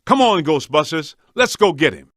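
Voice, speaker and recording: Video game ghost hunter: streetwise wisecracker, higher-pitched male voice, wildly animated, slangy and punchy. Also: normal speed, dry recording, clean studio sound. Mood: upbeat. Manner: casual, slangy, punchy delivery.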